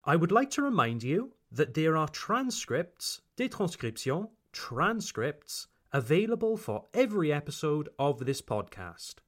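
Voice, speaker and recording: A Welsh man who hosts an English-learning podcast. He speaks as a teacher, slowly and with careful articulation. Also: fairly high pitch, sing-song. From Wales.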